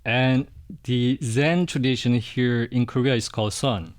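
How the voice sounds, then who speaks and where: A middle-aged man from Indonesia, a Buddhist monk, answering interview questions in a hesitant, soft way with frequent restarts. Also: mid-range voice, steady tone, unhurried.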